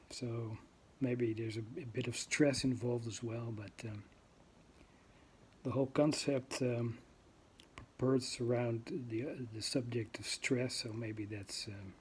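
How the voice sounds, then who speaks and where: Slow, hesitant Dutch-accented man. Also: medium pitch, steady tone, a little mellow, slight background noise. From Netherlands. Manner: hesitant, many ums and uhs, searching for words. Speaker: vlogger. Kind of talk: unscripted talk to camera.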